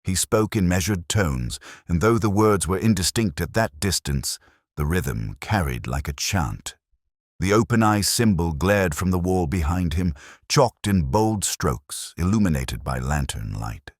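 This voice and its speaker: A man narrating a detective story from a script in a measured, solemn, dramatic manner. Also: deep voice, sing-song, no room sound, clean studio sound. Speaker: audiobook narrator.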